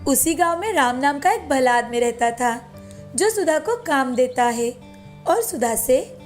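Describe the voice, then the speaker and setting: A woman's voice: high voice, animated, measured pace, soft background music. A woman narrating a scripted moral story for children as a storyteller.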